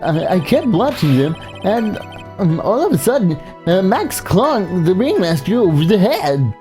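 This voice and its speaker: A woman's voice: mid-range voice, big pitch swings, measured pace, dark tone, faint music behind. A woman voicing an eager, rambling male clown on the witness stand in a video game, stumbling over phrases. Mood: storytelling. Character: chatty, eager male circus clown witness fond of bad jokes.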